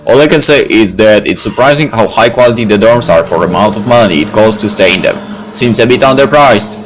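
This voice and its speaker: Cynical, questioning teen boy for a visual novel, read by a man, lowish voice, music behind. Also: animated, brisk, close to an everyday voice, poor audio. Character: cynical, probing teenage boy who smokes.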